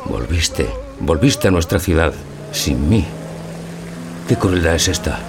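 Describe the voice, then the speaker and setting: A man's voice: lively intonation, slow, music in the background, full character voice. A man from playing a bewildered, wounded lover in a radio theatre parody of a classic film. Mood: hurt. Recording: clear background hum and rumble.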